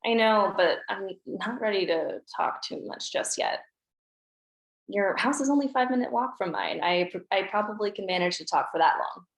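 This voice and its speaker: A woman reading a grieving teenage girl at a table read, soft and subdued. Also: mid-range voice, animated, moderate pace, echoey room, natural voice, low-quality recording. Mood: wry.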